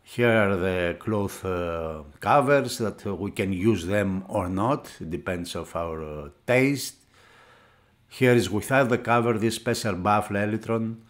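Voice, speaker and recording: A man who is a non-native English speaker gives an unscripted hands-on product review, pausing often as he searches for words. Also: lowish voice. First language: not English. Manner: halting, searching for words, with frequent hesitations. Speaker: product reviewer for an audio magazine.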